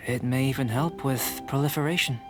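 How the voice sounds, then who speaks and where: A man voicing a calm, curious young alchemist in a video game, speaking in a measured, polite, scholarly way. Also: medium pitch, lightly acted. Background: game music.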